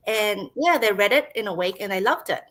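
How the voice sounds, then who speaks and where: A woman's voice: medium pitch, animated, fairly quick, fairly bright tone. A woman in her thirties, a screenwriter guest on a video call, chatting casually, laughing often and acting out what people said. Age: 30s. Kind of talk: casual interview chat.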